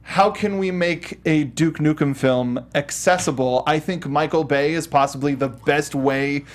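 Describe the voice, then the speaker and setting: A man's voice: on the high side, measured pace. A man hosting a panel discussion on entertainment news, riffing in a casual way and swearing now and then. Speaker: talk show host.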